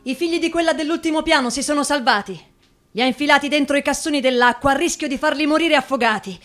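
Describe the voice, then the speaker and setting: A woman's voice: high voice, fast, fairly bright tone. An actress playing an anguished working-class neighbourhood woman in wartime, plaintive, with a Roman accent, in a radio drama. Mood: hushed news-sharing.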